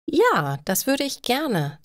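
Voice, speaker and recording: A woman's voice: high voice, huge pitch swings, slow pace, fairly bright tone, no room sound, clean studio sound, voice pushed up, heavily acted. A woman voicing a chatty woman who frets over her household chores in a story. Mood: friendly, chatty.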